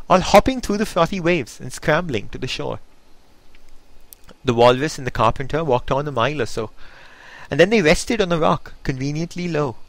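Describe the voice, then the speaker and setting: A man reading a poem aloud in a theatrical, expressive storytelling manner. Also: a little high, no echo. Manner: theatrical, putting on voices for dialogue. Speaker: reader. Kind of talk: expressive poetry recitation.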